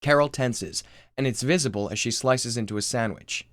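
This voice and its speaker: A man's voice: mid-range voice, moderate pace, fairly bright tone, no room sound. A man reading scripted screenplay narration, including stage directions, in a recording studio. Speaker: screenplay reader.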